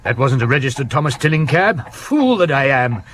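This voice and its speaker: An actor playing a grand, vain, irritable Victorian actor-detective in a radio drama, with a pompous, declamatory British delivery. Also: male voice, medium pitch, sing-song, moderate pace, lightly acted. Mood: alert, exasperated. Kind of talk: 1970s radio drama acting. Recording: noisy old radio broadcast recording.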